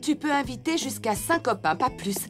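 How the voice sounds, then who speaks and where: A woman voicing an affectionate, practical elephant mother in a children's cartoon, expressive and motherly. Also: high-pitched, moderate pace. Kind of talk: animated children's cartoon dialogue. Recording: music behind the voice.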